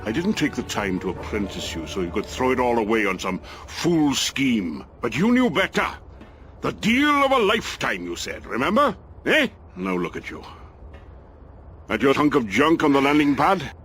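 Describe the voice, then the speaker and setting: An actor playing a gruff, fatherly old equipment dealer who scolds and cares, in a video game cutscene. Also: mid-range voice, wildly swooping pitch, moderate pace, a bit bright, a little hiss or hum. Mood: scolding. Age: older. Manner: scolding, paternal, emphatic.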